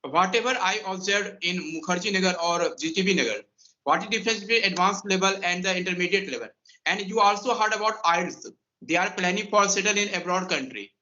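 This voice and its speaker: An Indian man coaching English speaking in earnest, repetitive phrases. His voice is high and very bright. The recording sounds dull and lo-fi. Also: a little fast. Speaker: English tutor. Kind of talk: conversation practice advice.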